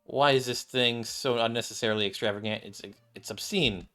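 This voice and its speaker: A gruff, scornful video game character acted by a man, dropped lower than his normal pitch. The delivery is curt and annoyed, over background music. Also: fairly quick, fairly bright tone, close to an everyday voice. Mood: irritated. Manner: curt, disdainful. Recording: music playing behind the voice.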